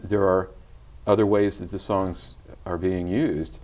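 A middle-aged man speaking gently as a guest in a TV studio interview, with many small hesitations, on a lapel mic. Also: low voice, fairly even intonation, unhurried. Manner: gentle, soft-spoken storytelling with hesitations. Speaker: guest musician. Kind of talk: casual interview talk. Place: tv studio set.

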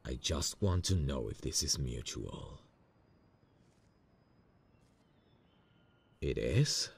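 Very deep, gravelly man acting as a lovestruck boss. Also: animated, full character voice. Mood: earnest, hesitant. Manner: hesitant, slow, soft-hearted. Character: nervous lovestruck male boss, tender and earnest. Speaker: voice actor in romantic audio roleplay. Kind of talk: intimate ASMR romantic roleplay acting.

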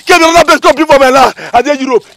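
Man acting an aggrieved Nigerian emigrant, very high voice. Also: racing, fairly bright tone, no echo, clean studio sound. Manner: plaintive, repeats phrases over and over. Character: aggrieved, pleading male emigrant cheated out of land. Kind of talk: comedy skit acting in Pidgin English.

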